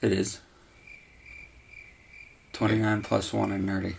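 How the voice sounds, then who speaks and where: A man's voice: on the low side, flat delivery, measured pace, creaky, slight background noise. A man in his thirties with a Southern American accent, co-hosting a casual podcast chat in a home man cave, upbeat and joking. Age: 30s. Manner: upbeat, joking, laughs often. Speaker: podcast co-host.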